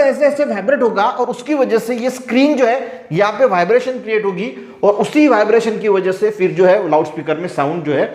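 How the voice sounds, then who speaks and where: A man with an Indian accent teaching science, explaining step by step with frequent repetition in a classroom lesson style. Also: extremely high pitch. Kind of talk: classroom explanation alternating read-out textbook sentences with explanation. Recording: echoey room.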